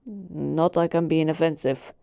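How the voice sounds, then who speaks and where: A woman's voice: sits low, steady tone, a little slow, gravelly, mellow, no echo, studio quality. A woman voicing an ancient, all-powerful genie who talks casually and teasingly to a master in a roleplay monologue. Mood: casual, wry. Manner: casual, teasing, conversational asides. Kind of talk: in-character roleplay monologue to the listener.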